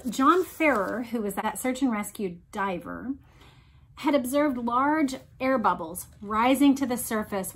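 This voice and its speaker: High-pitched woman, explanatory read-along host. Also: breathy edge. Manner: explanatory, emphatic, often addressing listeners directly. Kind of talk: reading aloud with explanatory commentary.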